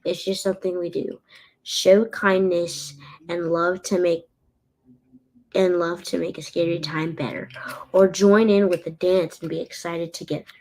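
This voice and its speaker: A woman co-reading a picture book aloud carefully, halting now and then and repeating phrases. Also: fairly low pitch, flat delivery, a little mellow, low-quality recording.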